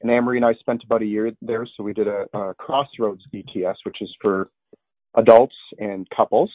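A middle-aged man tells his story in an earnest, rambling way, often restarting his sentences. His voice sits on the low side and sounds dry and close. The sound is narrow and tinny, like a phone line. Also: fairly even intonation, brisk, dry recording. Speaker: interview guest. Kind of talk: conversational testimony.

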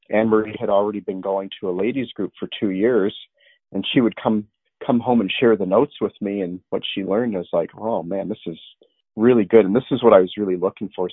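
A man's voice: on the low side, no echo. A middle-aged man giving a conversational testimony as an interview guest, earnest and rambling with restarts. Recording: narrow phone-line sound.

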